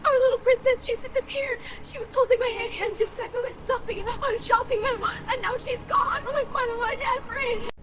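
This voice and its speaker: A woman's voice: very high voice, fairly even intonation, brisk, noisy background. A woman voicing a frantic mother cat in a melodramatic cartoon, distraught and pleading. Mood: panicked. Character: frantic, high-voiced mother cat of lost kitten. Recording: loud music behind the voice.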